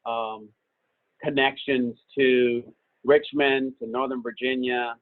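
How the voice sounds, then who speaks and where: A business owner answering questions on a video call in a relaxed, rambling way, with many fillers. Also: male voice, medium pitch, slow, no echo. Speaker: business owner and guest speaker. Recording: tinny phone-like call audio.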